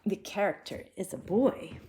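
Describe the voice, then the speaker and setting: A woman teacher reading a storybook aloud to young children in an expressive, deliberate way, with simple asides. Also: medium pitch, rough recording. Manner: deliberate, animated, simple child-directed phrasing.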